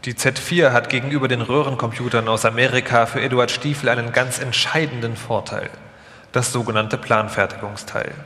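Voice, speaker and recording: A man's voice: mid-range voice, steady tone, a little fast, bright, forceful tone. A man reading scripted documentary narration as a narrator in a live radio feature. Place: large reverberant hall. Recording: long hall reverb, live performance.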